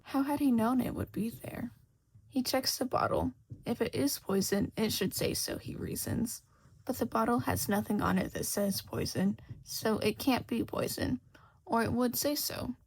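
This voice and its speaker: Husky, creaky-voiced woman reading a story. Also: fairly high pitch, moderate pace, low-quality recording. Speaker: podfic reader. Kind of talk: scripted narration of fan fiction.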